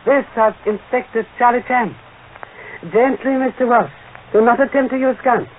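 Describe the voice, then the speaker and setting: An actor playing a shrewd, courtly Chinese detective inspector, formal and aphoristic, with clipped grammar, in old radio mystery style. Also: male voice, very high-pitched, normal speed. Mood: calm, triumphant. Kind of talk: 1940s radio mystery acting. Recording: tinny, noisy old radio recording.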